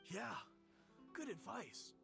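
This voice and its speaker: Worried game townsman: high, gravelly, confiding like a gossip. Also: sing-song, slow pace, over music, exaggerated voice. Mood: wary, confiding. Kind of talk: scripted video game dialogue.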